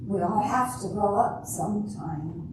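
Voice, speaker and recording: A woman's voice: mid-range voice, a little slow, close to an everyday voice. An older woman playing a disillusioned, wry Cinderella in an amateur stage reading, with a dry, sardonic delivery. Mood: resigned. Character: jaded, wry grown-up Cinderella, disillusioned with romance. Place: echoey room at a retirement community event. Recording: very echoey room, distant mic, hissy noise.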